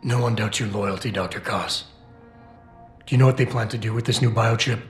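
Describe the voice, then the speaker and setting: A low-voiced, stoic operative played by a male actor speaks in clipped, controlled lines, determined and unshowy. It is video game cutscene dialogue with a roomy echo and music behind. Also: fairly even intonation, a little fast, close to an everyday voice. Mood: reassuring, determined. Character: stoic, determined male cyborg security operative on a rescue mission. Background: background music.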